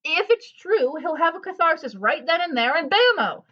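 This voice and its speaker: Woman playing a high, swooping know-it-all housewife. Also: very animated, moderate pace, dull audio. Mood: self-assured, advising. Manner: pompous, chatty, self-assured. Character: bossy, know-it-all gossipy housewife friend, amateur psychologist. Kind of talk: comedic audio drama acting.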